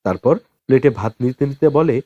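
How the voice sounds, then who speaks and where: A man narrating a novel as an audiobook in a dramatic, expressive storytelling style with deliberate pauses. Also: medium pitch, no room sound, highs cut off.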